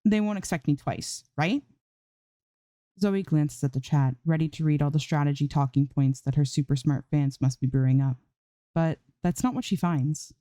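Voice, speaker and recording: A woman's voice: low voice, moderate pace, mellow, no room sound. A woman reading a story aloud as an amateur narrator, in scripted prose. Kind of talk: scripted fan fiction narration.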